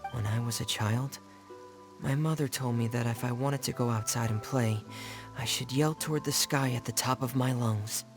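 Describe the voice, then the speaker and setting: A man's voice: mid-range voice, fairly even intonation, normal speed, lightly acted. A man playing a shy, introverted teenage boy in an anime game, speaking in a hesitant, earnest, subdued way. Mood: wistful. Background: background music.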